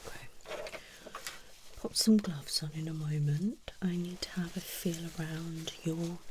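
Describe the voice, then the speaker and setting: A woman whispering softly and reassuringly as a doctor in an ASMR medical roleplay, slow and gentle. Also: sits low, noisy background. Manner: soft, reassuring, unhurried whisper.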